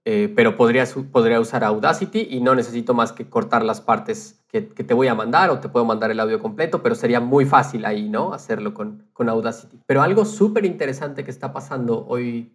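A man's voice: medium pitch, fairly quick. A man podcaster chatting casually and explaining things conversationally, checking in with his listener as he goes.

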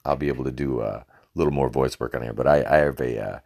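A man's voice: quick pace, darkish tone. A man hosting a podcast, telling rambling, swear-filled stories about his time as a ring announcer, with lots of fillers and restarts, recorded on a cheap home microphone. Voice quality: very deep voice. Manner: laughs at his own stories. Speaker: podcast host and former wrestling ring announcer. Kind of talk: casual podcast storytelling.

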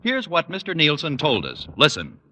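A man's voice: fairly high pitch, wildly swooping pitch, moderate pace, pushed and bright, slight background noise. A man with an American accent announcing in a polished, declamatory old-time radio style. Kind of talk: 1940s-50s radio announcing.

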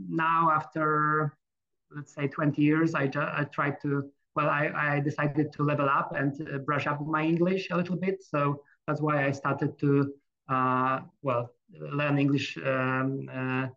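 Hesitant Polish-accented man, gravelly voice. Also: a little high, little pitch movement, measured pace, fairly bright tone. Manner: hesitant, many fillers and restarts. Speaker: guest. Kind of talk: casual interview. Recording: dull lo-fi sound.

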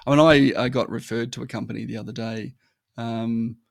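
A man's voice: mid-range voice, level tone, brisk, vocal fry, no room sound, clean studio sound. A man talking casually as an interview guest, emphatic, often checking in with a short "right?" after his points. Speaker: podcast guest. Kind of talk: casual conversational interview talk.

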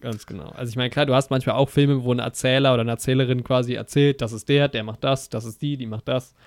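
A man talks about a film in a loose, eager ramble, piling up fillers and restarting his sentences. His voice has a gravelly edge, and the recording is dry and close. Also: mid-range voice, steady tone, quick pace, no echo. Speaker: podcast co-host. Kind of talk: casual film discussion.

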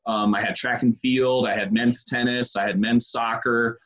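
A man's voice: medium pitch, steady tone, fairly bright tone. Easygoing man in his thirties. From British Columbia, Canada. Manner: easygoing, unhurried, lots of pauses. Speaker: strength and conditioning coach, guest. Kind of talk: casual interview, recounting his career.

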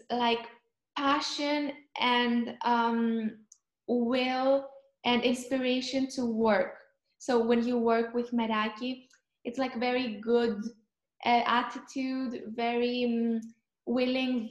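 A young woman from Cyprus, chatting in an interview and often pausing to search for words. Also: on the high side, echoey room. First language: Greek. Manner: thoughtful, searching for words, frequent ums. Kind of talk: casual chat.

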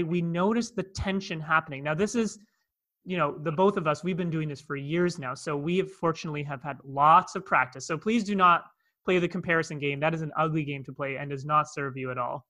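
A man's voice: a little high, bright, forceful tone. A man with a Canadian accent, a coach telling a personal story in a podcast conversation, earnest, with many asides. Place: in Cape Town, South Africa.

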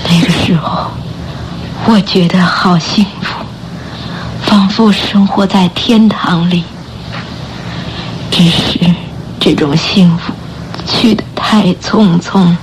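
Slow, breathy, ailing mother. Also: fairly low pitch, steady tone, noisy background. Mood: wistful, sorrowful. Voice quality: breathy, often whispered. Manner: halting, weak delivery. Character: ailing, bedridden mother, gentle, sorrowful, weak. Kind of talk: radio drama acting. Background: background music.

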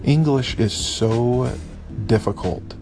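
A man with a slightly raspy voice, talking casually on his daily podcast and animatedly imitating stilted textbook phrases. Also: slow pace, music in the background. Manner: animated, mimics voices with high pitch jumps. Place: lives abroad in Vietnam.

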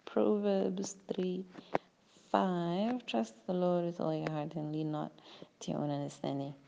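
A woman's voice: on the low side, fairly even intonation, a little slow, gravelly, lo-fi sound, background noise. A young woman, an engineering graduate, answering interview questions in a chatty way, often searching for words.

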